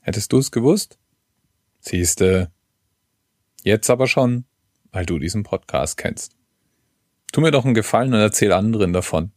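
A man's voice: fairly low pitch, expressive, moderate pace, pauses often, darkish tone, dry recording, studio quality. A man hosting a podcast with casual, chatty commentary and a wry, tongue-in-cheek manner. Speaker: podcast host.

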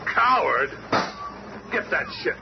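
Gruff hot-headed American pilot, swinging pitch. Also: male voice, big pitch swings, slow pace, full character voice. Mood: indignant. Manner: belligerent, wisecracking. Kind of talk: 1970s superhero radio drama acting. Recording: muffled, noisy 1970s radio play recording.

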